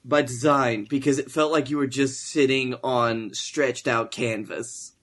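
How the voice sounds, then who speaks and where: Male podcast co-host, medium pitch, loose and wry storyteller who swears freely and wanders as he goes. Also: fairly even intonation, measured pace, a bit bright. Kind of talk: casual comic storytelling.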